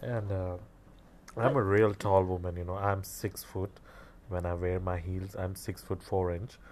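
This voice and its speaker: A man's voice: deep voice, level tone, unhurried, darkish tone. A man with an Indian English accent, a guest chatting casually and playfully, laughing often. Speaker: interview guest, cross-dresser. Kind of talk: casual chat.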